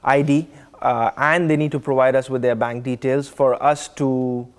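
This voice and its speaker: A young man representing a company, calmly explaining how its service works in a TV studio interview. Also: mid-range voice, smooth. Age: young adult. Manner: measured, explanatory, many "uh" fillers. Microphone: lapel.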